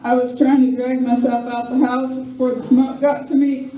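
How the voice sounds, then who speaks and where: A woman's voice: sits high, flat delivery, unhurried, mellow. A woman playing a wounded, feisty frontier ranch woman in a live Western radio play, with folksy rustic speech. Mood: pained. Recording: echoey room from far off, noisy.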